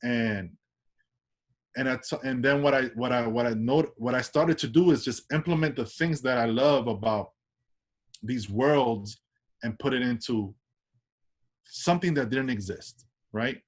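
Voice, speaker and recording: A man's voice: mid-range voice, a little slow. Laid-back New York man talking freely. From Washington Heights, New York. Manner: loose, tag questions, many restarts. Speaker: artist guest. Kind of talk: casual interview chat.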